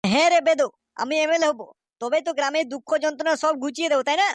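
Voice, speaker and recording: Woman doing a fast, high-voiced Bengali gang boss. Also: dry recording, natural voice. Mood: ambitious boasting. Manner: coarse, blustering. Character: bullying, foul-mouthed male village gang boss and candidate. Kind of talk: comedy cartoon dubbing.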